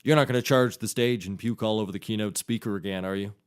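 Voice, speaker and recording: An actor playing a sensible, articulate private eye with a dry, teasing, wordy way of talking. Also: male voice, lowish voice, fast, clean tone, lightly acted. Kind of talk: comedic mock-noir dialogue.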